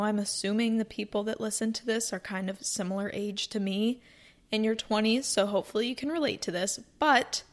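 A woman in her twenties hosting a casual solo podcast, chatting in a rambling, upbeat way from a cozy room. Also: mid-range voice. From North Carolina, US. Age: 20s. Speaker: podcast host.

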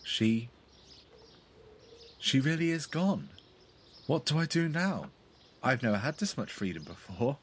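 A man playing a timid, downtrodden, bereaved son who talks haltingly to himself in an audio play. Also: medium pitch, very animated, a little hiss or hum. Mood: hesitant relief. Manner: hesitant, halting, talking to himself.

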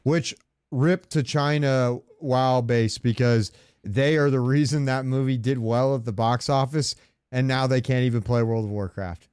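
A man hosting a podcast, giving casual fan commentary in a smooth, clear voice. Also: medium pitch, steady tone, measured pace, darkish tone, no echo, clean studio sound. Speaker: podcast host.

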